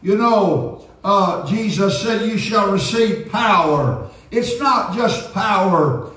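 A man's voice: high voice, expressive, slow, a bit bright. A man preaching an earnest Pentecostal sermon as a pastor. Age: 70s. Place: church sanctuary, indoors at a pulpit. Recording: very echoey church sanctuary, distant sound.

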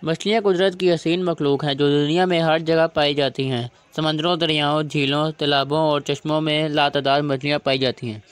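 A young man from Pakistan with a high, smooth and resonant voice. He narrates a vlog in a steady, formal way, recorded close and dry with no room sound. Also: normal speed, hardly any pauses, no echo. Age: young adult. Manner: formal, reads facts in steady Urdu. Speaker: vlog host.

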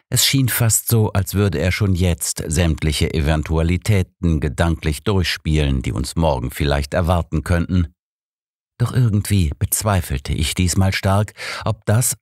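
Male audiobook narrator, low register, scripted first-person storytelling, dry close studio sound. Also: a little mellow, dry recording.

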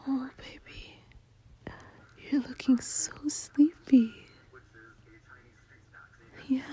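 Woman doing cuddly gf asmr, soft mellow whispery voice, super slow, sleepy n playful. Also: sits high. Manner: affectionate, playful, sleepy murmuring. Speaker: girlfriend roleplay ASMR performer. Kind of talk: intimate ASMR roleplay, mostly whispered. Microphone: close mic.

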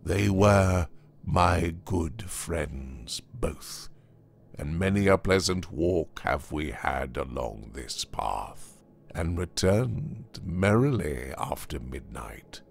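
A man acts out a sly, worldly devil in his fifties from an old storybook. The voice is deep and gravelly, taking its time over formal, archaic phrases as it smoothly persuades. Its pitch swoops widely. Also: full character voice. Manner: unhurried, archaic formal speech. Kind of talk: dramatised audiobook reading of a classic tale.

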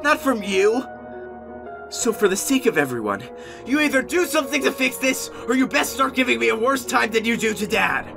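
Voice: medium pitch, sing-song, gravelly, over music. A performer voicing a young male goat monster, earnest and haunted by guilt, in an amateur comic dub. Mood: angry, anguished. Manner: earnest, emotionally volatile, halting when ashamed.

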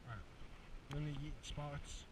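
A man's voice: on the high side, measured pace. Young man chatting while fishing outdoors. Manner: chatty, jokey, bursts into loud excited cheers. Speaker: fishing vlogger. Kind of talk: casual outdoor fishing commentary. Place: outdoors at a pond. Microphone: head-mounted action camera. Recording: distant mic with outdoor noise.

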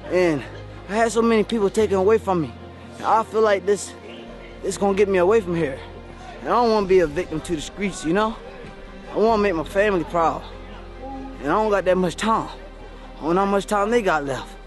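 A young man speaking earnestly and from the heart in an outdoor documentary interview. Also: sits high. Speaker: fighter. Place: outdoors, woods.

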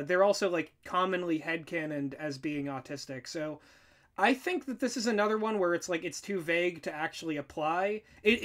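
A man co-hosting a casual reaction commentary in an animated, exasperated manner. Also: sits high. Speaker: co-host, writer reacting to writing tips.

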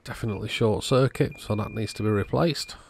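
Deep-voiced, gravelly man narrating repairs. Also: a little fast, slight background noise. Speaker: host, electronics repairer. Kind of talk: casual repair video narration.

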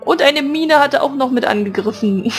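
A woman's voice: high voice, normal speed, faint music behind. A woman hosting a casual let's play, chatting playfully and giggling with her co-player. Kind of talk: casual gaming commentary.

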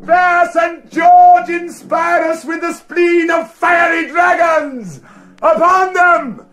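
A man's voice: very high voice, fairly even intonation, takes it slowly, a bit bright, raised voice, faint music behind, full character voice. An actor playing a ruthless, scornful warrior king in a declamatory classical Shakespearean style. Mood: battle fury. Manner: declamatory, grandly theatrical. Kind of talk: classical Shakespearean stage acting.

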